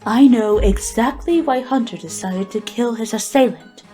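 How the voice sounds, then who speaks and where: A woman's voice: on the high side, normal speed, mellow, faint music behind, natural voice. A woman playing a composed, confident spirit testifying in an amateur audio drama. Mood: matter-of-fact. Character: knowing female spirit witness, composed and confident.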